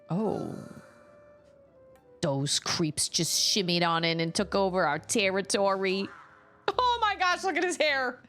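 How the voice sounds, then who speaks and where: Chatty woman streamer with lively pitch. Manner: rambling, chatty, exclaims at the game. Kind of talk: casual chat while playing a video game.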